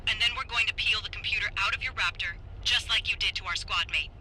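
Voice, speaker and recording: A cold male enemy soldier in a video game, heard over a slightly noisy transmission. His voice is high and piercingly bright. He is blunt and threatening. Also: fast talker. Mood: menacing. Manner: menacing, blunt. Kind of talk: video game villain acting.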